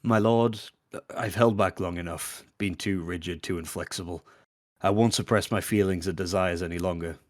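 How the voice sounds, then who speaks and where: A man's voice: low-pitched, fairly even intonation, normal speed, a little mellow, no room sound, clean studio sound, close to an everyday voice. A man playing a rigid, dutiful Imperial captain, formal and earnest, in a sci-fi audio drama. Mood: earnest, restrained.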